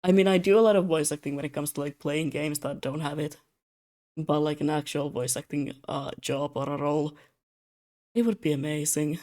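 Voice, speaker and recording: A young adult streamer chats loosely on a streaming mic while drawing commissions. The voice sits at a middle pitch and sounds tired, with words slurring a little. The talk rambles and turns self-mocking, broken by frequent laughs. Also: fairly even intonation, normal speed, a little mellow. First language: Russian. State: tired, slightly slurred speech. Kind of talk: casual live-stream chat.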